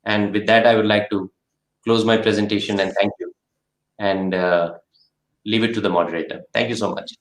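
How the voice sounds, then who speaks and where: Echoey, lower-voiced Indian man. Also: steady tone, normal speed. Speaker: guest presenter. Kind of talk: closing a webinar presentation. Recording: echoey room on webinar call.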